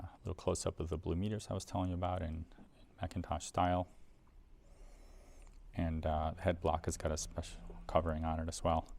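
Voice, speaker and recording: A man's voice: sits low, creaky, a little mellow. A man giving a casual, explanatory product demonstration in an informal way, as a presenter for an equipment seller.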